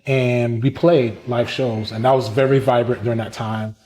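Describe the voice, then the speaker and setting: A man, telling his story in a casual interview, animated and quick to laugh. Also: mid-range voice. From Brooklyn, New York. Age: 30s. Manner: animated, chatty, laughs often. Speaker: musician and community organizer, interviewee.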